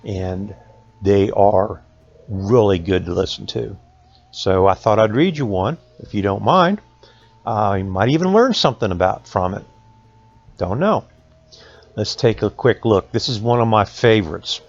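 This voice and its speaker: A man with a Southern Appalachian American accent telling stories to children in a folksy, conversational way with dramatic pauses. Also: on the low side, highs cut off. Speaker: school resource officer reading folk tales to students.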